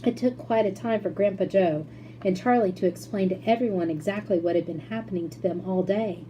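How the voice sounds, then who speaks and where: A woman reading a children's story aloud as narrator, in an expressive storytelling style. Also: medium pitch, a little fast, rough recording. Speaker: school principal reading aloud. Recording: faint background noise and hum.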